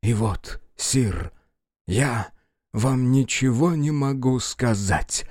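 A man voicing a theatrical actor-playwright, flattering and hot-tempered, who declaims grandly in a stage play reading. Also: medium pitch, takes it slowly, no echo, studio quality. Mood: ceremonious. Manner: grandly declaiming, slight stammer at first.

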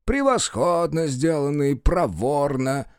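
A man's voice: a little high, very animated, takes it slowly, smooth, no echo, studio quality, heavily acted. A man voicing a haughty, mocking servant to an actor in a stage play reading. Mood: sardonic. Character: Bouton, haughty, put-upon male servant of an actor.

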